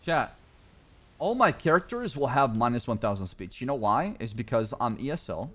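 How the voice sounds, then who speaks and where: A man's voice: mid-range voice, big pitch swings, moderate pace, a bit bright. A man whose first language is Arabic, streaming a police roleplay, speaking in careful, plain phrases and thinking aloud. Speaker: streamer playing a police leader. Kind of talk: roleplay chat and talking to stream chat.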